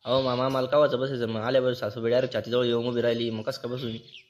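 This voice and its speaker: Fast-talking grumpy village husband played by a man. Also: medium pitch, flat delivery, lo-fi sound, slight background noise, close to an everyday voice. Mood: indignant. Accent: rural Maharashtrian Marathi. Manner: complaining, mocking. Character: grumpy, sarcastic village husband and touchy son-in-law. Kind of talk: comedy cartoon dialogue in colloquial Marathi.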